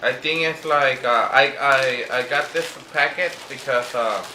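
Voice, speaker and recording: A man's voice: mid-range voice, unhurried. A man giving a casual unboxing review to viewers, speaking hesitantly and slipping between two languages. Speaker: vlogger. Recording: echoey room with faint background noise.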